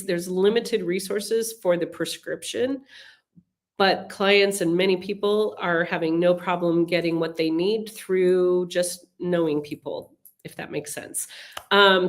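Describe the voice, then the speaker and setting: A middle-aged woman with a cold, hosting a live webinar Q&A as a relocation advisor and answering viewer questions in a chatty, explanatory way with frequent ums and restarts. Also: fairly low pitch. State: has a cold, sniffling. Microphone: computer mic on a webinar call.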